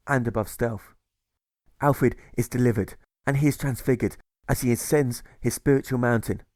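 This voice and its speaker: Male audiobook narrator, medium pitch, rhythmic scripted verse, measured delivery, very dry recording. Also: a little mellow, no room sound. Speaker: author reading own audiobook.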